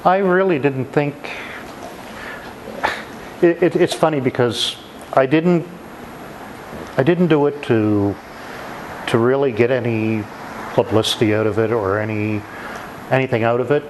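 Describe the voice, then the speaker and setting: A middle-aged man with a Maritime Canadian accent, giving reflective, halting interview answers over clear background noise. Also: medium pitch, poor audio. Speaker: retiring emergency dispatcher and firefighter.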